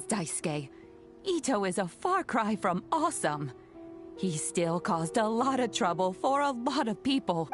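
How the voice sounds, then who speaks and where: An old grandmother from a video game, doting and gentle. She speaks slowly and reassuringly, in a measured way, over music. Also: on the high side. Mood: gently chiding. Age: older. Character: kindly, proud, doting old granny who raised an oni.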